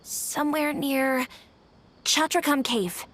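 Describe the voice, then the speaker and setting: A woman voicing a subdued, helpful female villager in a fantasy video game, speaking deliberately. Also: sits high, a bit bright. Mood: subdued, worried. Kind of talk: video game character voice acting.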